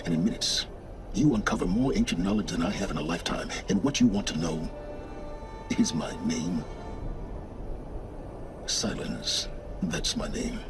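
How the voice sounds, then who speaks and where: An actor playing a condescending, pompous middle-aged male scholar of ancient ruins, with measured, theatrical delivery in a video game. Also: low-pitched, expressive, over music.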